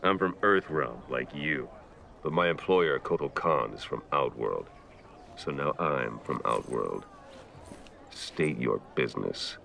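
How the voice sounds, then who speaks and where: A sardonic male mercenary from a fighting game. His voice is bottom-of-the-range and full of gritty creak. He sounds coolly threatening, with some faint noise around him. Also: rumbling low, expressive, takes it slowly, a bit bright, exaggerated voice. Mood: dry menace. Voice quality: gravelly. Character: hard-bitten mercenary gunman serving an alien emperor.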